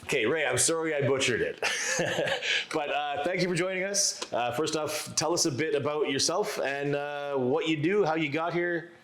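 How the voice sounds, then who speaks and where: A laid-back male host with a bright, forceful voice. He chats loosely and jokes at his own expense. The sound carries the echo of the room. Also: a little high, moderate pace. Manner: laid-back, laughs often, self-deprecating. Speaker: podcast host. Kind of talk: casual chat. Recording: echoey room.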